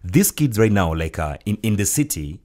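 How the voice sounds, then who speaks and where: A man talking on a radio panel in a radio studio, animated and tripping over repeated words as he restarts his sentences. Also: fairly low pitch, moderate pace. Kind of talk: casual radio talk.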